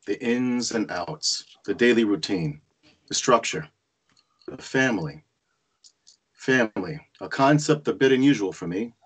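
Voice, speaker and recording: An actor playing a reflective, awkward nephew narrating his past in a measured, husky way at a screenplay table read. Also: on the low side, lightly acted.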